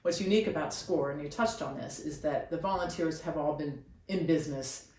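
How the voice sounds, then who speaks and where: A woman's voice: sits low, brisk, darkish tone. A woman hosting a conversational radio interview, asking questions and adding comments. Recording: echoey room, faint background noise.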